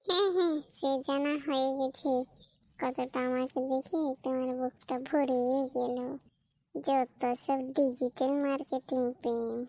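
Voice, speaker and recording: A woman's voice: high-pitched, fairly even intonation, a little slow, darkish tone, no echo, low-quality recording. A woman voicing a cheeky, scheming young sister in a cartoon skit, with a taunting, theatrical delivery. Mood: sarcastic, scolding.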